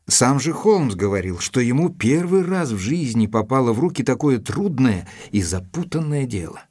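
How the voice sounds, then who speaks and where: An actor playing an earnest, guarded gentleman doctor in an audio drama, narrating in a measured, literary and formally courteous way. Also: lowish voice, breathy edge, no echo, studio quality.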